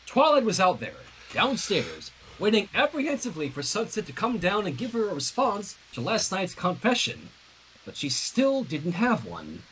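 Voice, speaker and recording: A man reading fan fiction aloud on a livestream in an expressive, dramatic way, with casual asides. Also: high-pitched, moderate pace, slight background noise, poor audio. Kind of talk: animated story narration on a livestream.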